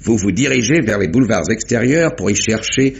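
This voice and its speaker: An actor playing a genial, methodical police inspector, recapping deliberately in a theatrical mid-century French radio mystery manner. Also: male voice, fairly low pitch, animated, creaky. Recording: dull vintage radio drama recording.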